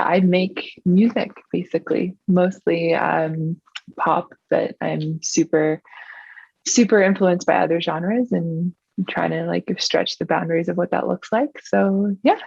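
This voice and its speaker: Kiwi singer lady chatting in an interview, fry in her voice, muffled lo-fi sound, says like a lot. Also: on the low side, no echo. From New Zealand. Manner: chatty, frequent "like" and "um" fillers. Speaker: singer-songwriter guest. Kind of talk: casual interview chat.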